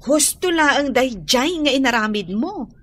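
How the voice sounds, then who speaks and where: A protective, outspoken young woman friend. Her very high voice swings widely and takes its time as she gives emphatic advice in an Ilocano radio drama. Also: lively intonation, unhurried. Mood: frank.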